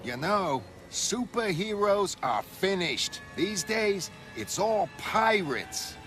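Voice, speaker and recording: A man voicing a gruff but soft-hearted, rambling newspaper vendor in a motion comic, colloquial and prone to repeating himself. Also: high-pitched, big pitch swings, takes it slowly, soft background music, voice pushed up, heavily acted. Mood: resigned. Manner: stammering, repetitive, colloquial. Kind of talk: motion comic dramatic acting.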